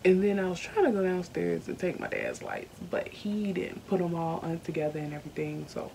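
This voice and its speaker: A young woman, speaking at home to her camera. Her voice sits low and dark, and her delivery is candid and earnest, slowed by feeling and full of small hesitations. A faint hiss runs under the recording. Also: big pitch swings, gravelly, rough recording. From Southern United States. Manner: earnest, candid, slowed by emotion, many ums. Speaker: YouTuber. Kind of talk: personal vlog storytelling. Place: indoors at home.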